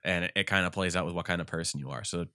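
A deep-voiced man talks games in a rambling, animated way, his nose stuffed up. His tone is bright and crisp. The recording is dry and close. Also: rapid-fire, no room sound. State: congested. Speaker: podcast co-host. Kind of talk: casual gaming chat.

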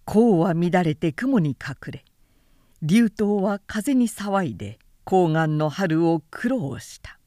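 A woman reads a historical novel aloud as a narrator, with measured, dramatic storytelling. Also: lowish voice, very animated, warm tone, no room sound, studio quality. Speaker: narrator reading literature aloud.

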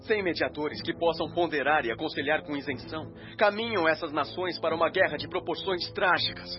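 An actor playing an anxious spirit radio announcer, delivering a solemn, impassioned, oratorical appeal for peace in a radio drama. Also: male voice, fairly high pitch, a little fast, highs cut off, natural voice. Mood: grave warning. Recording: band-limited radio sound under loud music. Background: loud music.

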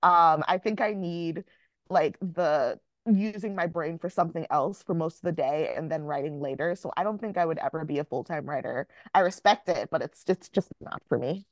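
A young woman from Jamaica talks about her writing life in a relaxed podcast interview. Her voice sits a little low, and she is candid and quick to laugh at herself. The sound is dry and close, with no room around it. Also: dry recording. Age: young adult. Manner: candid, self-mocking, laughs often. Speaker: author guest.

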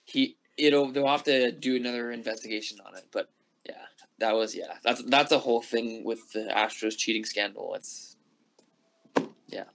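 A man co-hosts a casual sports podcast, sounding upbeat and offhand. His pitch sits in the middle range for a man. He trades quick opinions in an easygoing way. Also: steady tone, creaky, a bit bright, poor audio. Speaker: podcast creator and co-host.

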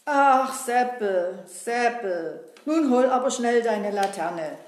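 A cheeky, teasing puppet boy chats with the audience and scolds his friend, as a woman puts him on. He talks slowly and clearly, in a somewhat high voice. An echoey room surrounds him. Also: animated. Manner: chatty, teasing, addresses the audience. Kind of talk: puppet-show acting. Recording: roomy echo.

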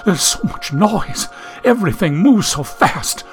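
A man's voice: high-pitched, lively intonation, a little slow, soft background music. A man reading an audiobook, voicing a genteel young woman from the 1870s who is anxious, prim and lost in the modern world. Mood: distressed. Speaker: audiobook narrator.

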